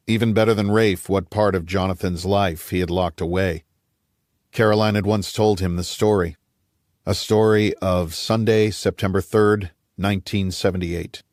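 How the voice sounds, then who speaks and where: A man's voice: low-pitched, darkish tone, no room sound, clean studio sound. A man reading a scripted thriller as an audiobook narrator.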